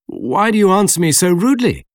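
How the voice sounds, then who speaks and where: A man's voice: high voice, sing-song, fairly quick, no room sound, studio quality, pitched above the performer's own voice. A man voicing an anguished, defiant scientist in an audiobook, emphatic and indignant. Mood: indignant, questioning.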